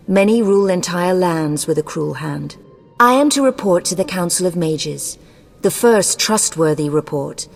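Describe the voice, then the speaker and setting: A woman playing an imperious, ruthless sorceress in a video game, haughty, formal and commanding. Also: on the low side. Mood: cold resolve. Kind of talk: scripted video game dialogue. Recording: long cavernous reverb.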